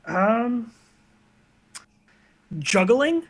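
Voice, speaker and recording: A man's voice: very high voice, sing-song, extremely slow. A man chatting casually as an interview guest, recorded in a bedroom. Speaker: interview guest, online video creator. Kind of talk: casual chat.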